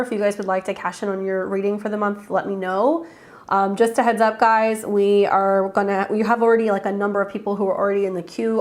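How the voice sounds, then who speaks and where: A woman with a head cold and a congested voice, chatting casually as an astrologer hosting live readings and greeting viewers by name. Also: mid-range voice. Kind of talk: casual livestream chat.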